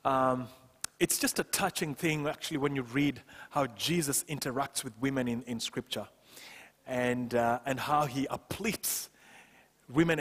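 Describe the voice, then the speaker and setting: A man in his thirties preaching a sermon as a pastor, emphatic and deliberate, repeating phrases for stress, on a headset microphone in a church. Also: mid-range voice. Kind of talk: sermon to a congregation. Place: church stage, indoors. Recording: reverberant hall sound.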